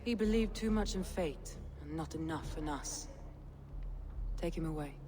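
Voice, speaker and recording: An actress playing a stern, grieving Viking clan leader in a video game, solemn, formal and commanding. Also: female voice, sits low, normal speed, a crowd in the background, lightly acted. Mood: grim, hurt. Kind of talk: dramatic game cutscene acting.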